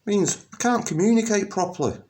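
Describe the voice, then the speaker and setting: A middle-aged man from England, talking to camera in a rambling personal monologue, halting with many ums and restarts, then bursting out emphatically. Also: sits high, darkish tone. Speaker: vlogger.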